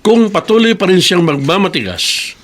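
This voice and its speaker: An actor playing a proud, unyielding company boss in a Filipino radio drama, with an authoritative, emphatic delivery. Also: male voice, high voice, very animated, moderate pace. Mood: proud, stern.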